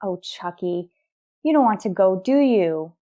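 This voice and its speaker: A woman playing a worried but composed mother and wife in an old-fashioned radio soap, with proper, deliberate phrasing. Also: mid-range voice, animated, dark tone, highs cut off, natural voice. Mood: gently coaxing.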